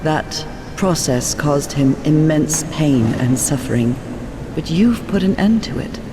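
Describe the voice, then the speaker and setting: An actress playing a loving, serene woman from beyond time in a story game, speaking intimately and with gentle, measured reassurance. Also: deep voice, animated, noisy background. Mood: gently explaining. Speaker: character and narrator. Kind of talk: cinematic game voice acting. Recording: long reverb, music underneath.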